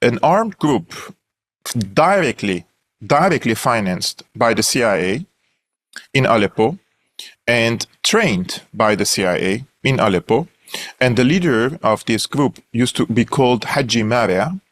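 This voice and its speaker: A man's voice: medium pitch, animated, a little slow, fairly bright tone. A young man from Syria with a Syrian Armenian accent, a guest analyst telling his story earnestly in an interview, with many fillers and restarts.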